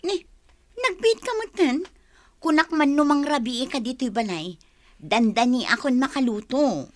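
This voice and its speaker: An actress playing a homely, practical older sister in a radio drama. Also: female voice, high-pitched, lively intonation, unhurried, dry recording. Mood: coaxing.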